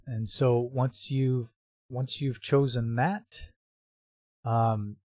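A man narrating a step-by-step software tutorial in an informal, explanatory way. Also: mid-range voice, steady tone, extremely slow, dry recording, muffled recording.

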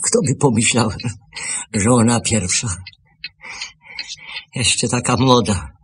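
An actor in a radio play as an old widowed shopkeeper, lonely and gentle, stammering and repeating his words. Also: mid-range voice, slow, a little hiss or hum, lightly acted. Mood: wistful, lonely. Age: older.

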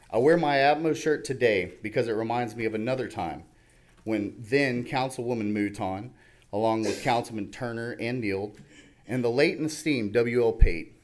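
A middle-aged man reading a prepared public comment at a podium microphone in a council chamber. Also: mid-range voice. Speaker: public commenter, plumbing instructor. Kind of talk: prepared public statement read aloud. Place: large indoor council chamber. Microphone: podium gooseneck microphone.

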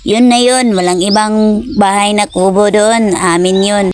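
A woman's voice: mid-range voice, fairly even intonation, unhurried, a little hiss or hum, lightly acted. A woman voicing a lazy, dim-witted young man in a cartoon story who talks in a sleepy, easygoing way.

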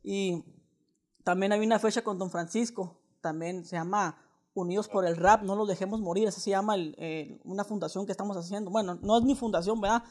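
A man's voice: high voice, fairly even intonation, a little fast, darkish tone. A young man with a Mexican accent making a casual prank phone call, talking in a slangy, wheedling, mock-earnest way. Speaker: prank caller. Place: indoors, small living room.

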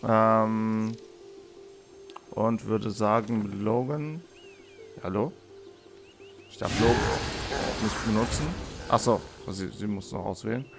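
A man with a slightly hoarse voice giving casual game commentary as a let's play host, thinking aloud and repeating words. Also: lowish voice, steady tone, takes it slowly, pauses often. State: hoarse from being under the weather. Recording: music behind the voice. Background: game music.

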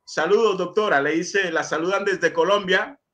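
Brief: middle-aged Honduran male host, high bright voice, courteous, reads viewer comments on a video call. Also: moderate pace. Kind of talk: interview, reading viewer messages.

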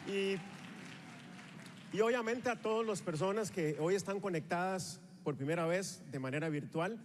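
A Costa Rican man in his forties with a Costa Rican accent, giving an energetic motivational speech on stage with a handheld microphone. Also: sits high, moderate pace, slight background noise. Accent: Costa Rican Spanish. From Costa Rica. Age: 40s. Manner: energetic, rallying the audience, walks the stage while gesturing. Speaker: motivational speaker at a sales rally. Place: large convention hall on a stage with a live audience. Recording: reverberant hall sound.

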